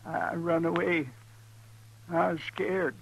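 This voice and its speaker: An actor playing a frightened, simple-minded old ranch hand in a western radio drama, halting and timid. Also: male voice, on the high side, vocal fry. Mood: dazed, fearful. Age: older. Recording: noisy 1950s radio recording with hum.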